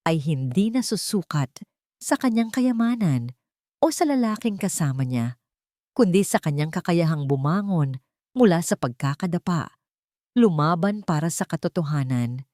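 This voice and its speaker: A woman narrating a scripted story in an earnest, measured and emotive way. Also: fairly low pitch, darkish tone, dry recording, studio quality. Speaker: audiobook narrator.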